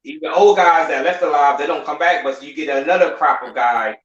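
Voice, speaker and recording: A man's voice: a little high, a little fast, fairly bright tone, reverberant, lo-fi sound. A man on a live stream, chatting casually, laughing often and repeating himself. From United States. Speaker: YouTuber guest on a live stream.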